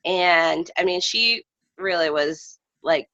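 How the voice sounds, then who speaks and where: A woman shares a personal story in conversation. Her voice is deep for a woman and gravelly with fry, and she speaks haltingly and reflectively. The recording is somewhat muffled and lo-fi. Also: bright, forceful tone. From United States, lives in New England. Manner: halting, reflective, trailing phrases. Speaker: guest storyteller. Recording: dull, roomy lo-fi recording.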